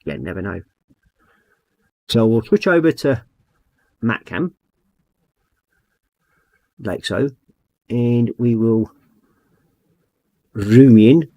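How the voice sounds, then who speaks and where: A middle-aged man with a croaky throat, chatting easygoing and rambling as he hosts a livestream, reading out messages from chat. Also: mid-range voice, lively intonation, drawn-out words, pauses often, mellow, no echo. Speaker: livestream host. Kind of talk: casual livestream chat while painting models.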